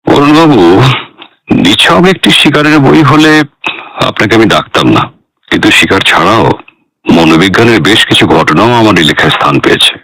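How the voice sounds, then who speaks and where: An actor playing an elderly psychiatrist and former hunter, composed and principled, speaking formally and measuredly in a radio drama. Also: male voice, on the low side, expressive, fairly quick, long pauses, pushed and bright, no echo, exaggerated voice, poor audio. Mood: composed, explanatory.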